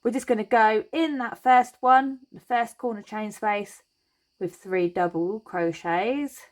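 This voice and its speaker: A woman hosting a crochet tutorial, chatty and reassuring as she talks through each step, her voice a little croaky. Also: on the high side, unhurried. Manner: chatty and reassuring, thinks aloud while working. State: slightly croaky voice.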